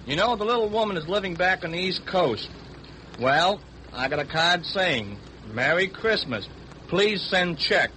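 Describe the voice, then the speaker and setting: An actor playing a wry married American sailor in 1940s radio style, on a noisy old recording. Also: male voice, high-pitched, animated, a little slow, bright, forceful tone, full character voice.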